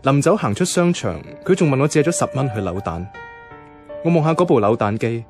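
A male actor plays a young Hong Kong office worker in a Cantonese radio drama. He is easygoing and bemused, with a middling-pitched voice and clear music behind him. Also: big pitch swings, fairly quick, lightly acted. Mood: wry, reflective. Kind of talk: radio drama narration and dialogue.

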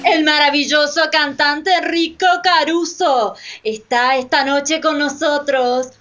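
A grandiose master of ceremonies in a radio play. The delivery is high, pompous and declamatory, over clear background noise. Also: female voice, steady tone, slow, a bit bright. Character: grandiose master of ceremonies praising rubber's miracles. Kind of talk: radio drama acting. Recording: clearly noisy, with drum rolls.

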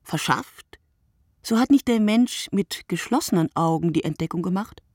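A woman playing a reflective, poetic narrator in a literary radio play, speaking in a deliberate, declamatory way. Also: fairly low pitch, animated, darkish tone, slightly rough. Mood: quiet, musing. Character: reflective, poetic female narrator musing on sleep and night.

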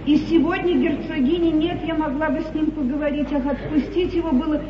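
High-voiced, plaintive, imperious queen played by an actress. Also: fairly even intonation, a little fast, reverberant, lightly acted. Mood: wistful. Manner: grand, declamatory, plaintive. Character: Queen Anne, fretful yet imperious youngish monarch. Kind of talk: theatrical mid-century stage comedy acting. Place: large theatre stage, echoing. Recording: muffled, hissy 1950s theatre broadcast, distant.

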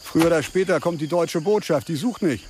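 An actor playing a desperate scam victim in a radio play, agitated and rambling with halting repetitions. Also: male voice, a little high, a little mellow, a little hiss or hum, lightly acted. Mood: fearful, reasoning. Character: desperate German scam victim, pleading and babbling in the bush.

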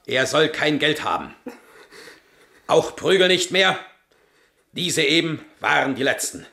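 An actor playing a gruff, hot-tempered old soldier king in a 1970s radio play, domineering and harsh. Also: a little high, expressive, measured pace, pushed and bright, roomy sound, heavily acted. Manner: blustering, domineering. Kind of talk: 1970s radio drama acting.

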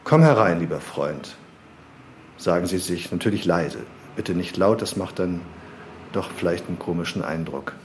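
A man's voice: low voice, expressive, moderate pace. A man acting in a satirical radio play as a patient smoking instructor who coaxes, repeats himself and speaks in flowery phrases. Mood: coaxing, flowery. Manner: coaxing, repetitive, flowery. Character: patient, fussily encouraging male smoking instructor. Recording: clear background ambience noise.

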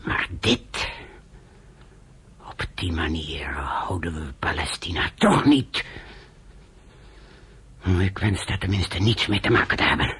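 An actor playing an embittered, principled senior official in a radio drama, with a husky voice and a brooding, indignant manner. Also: male voice, sits low, big pitch swings, takes it slowly, pauses often, a bit bright, whispered, heavily acted.

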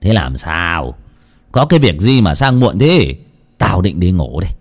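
Casting: male narrator as gruff clan-head uncle, middle-aged, low register, teasing and scolding, storybook rustic. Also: big pitch swings, measured pace, full character voice. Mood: brusque. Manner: scolding, earthy, sly.

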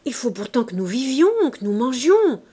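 A woman voicing a scheming middle-aged thief who coaxes his daughter softly. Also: on the high side, very animated, normal speed, breathy edge, voice pushed up. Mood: coaxing. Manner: coaxing, soft-spoken.

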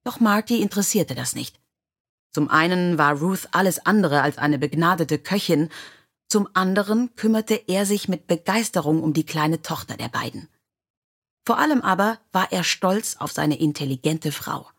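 A woman's voice: lowish voice, lively intonation, moderate pace, gravelly, breathy edge. A woman reading scripted biographical stories as an audiobook narrator.

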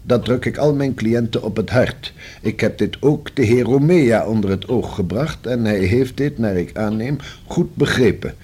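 Give this voice to a discreet, pedantic male notary played by an actor in a radio play. His pitch is average for a man, and the tone is a little husky. He sets out legal matters in long, careful, formal sentences. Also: moderate pace, mellow, natural voice. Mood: formal, explanatory. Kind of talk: Dutch radio play acting.

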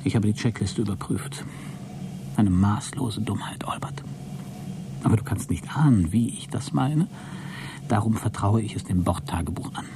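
A man's voice: fairly low pitch, normal speed, creaky, in a whisper. A man playing a mocking, scheming astronaut in a satirical science fiction radio drama. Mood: secretive, hushed. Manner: sardonic, needling. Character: mocking, scheming male astronaut, resentful of his crewmate.

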